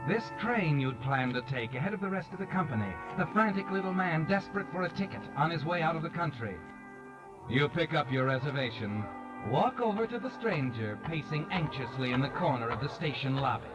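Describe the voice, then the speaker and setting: An actor playing an ominous, knowing narrator in a 1940s radio mystery, insinuating and dramatic, addressing the listener as the culprit. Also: male voice, a little high, lively intonation, a little fast, natural voice. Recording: dull vintage 1940s radio recording. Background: dramatic music.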